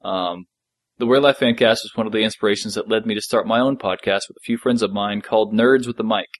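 A man's voice: fairly low pitch, quick pace, dry recording. A middle-aged man chatting casually as a podcast co-host, laid-back and appreciative, sometimes reading out listener messages.

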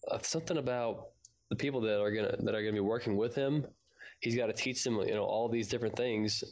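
A man with a fairly low voice co-hosts a fan podcast. His delivery is informal and conversational, chatting with a fellow host. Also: gravelly. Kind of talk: casual podcast chat.

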